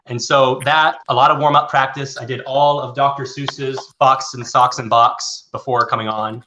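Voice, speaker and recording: A man's voice: mid-range voice, fairly even intonation, normal speed, pushed and bright, slight background noise. A man who works as a voice actor, chatting casually and explaining how he does it. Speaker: voice actor in a theatre company. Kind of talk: casual interview chat.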